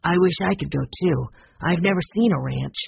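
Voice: mid-range voice, moderate pace, vocal fry, slightly rough, no echo, muffled recording, heavily acted. A storybook narrator voicing a helpful, sensible teenage boy, earnest and straightforward.

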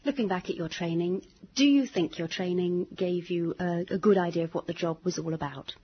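Husky woman interviewer. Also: on the low side, a little fast. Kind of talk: scripted interview questions. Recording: dull lo-fi tape.

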